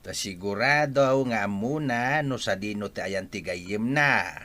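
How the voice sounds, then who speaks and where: A man's voice: fairly low pitch, a little slow, sharp tone. An actor in an Ilocano radio drama playing a stern, worried father who speaks emphatically with an Ilocano accent. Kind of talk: Filipino radio drama acting.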